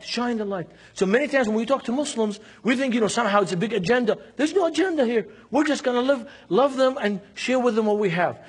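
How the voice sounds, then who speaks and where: High-voiced husky man with a Lebanese accent. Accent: Lebanese Arabic. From Beirut, Lebanon. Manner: emphatic, acts out dialogue, repeats key phrases. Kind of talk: seminar preaching with anecdotes and rhetorical questions.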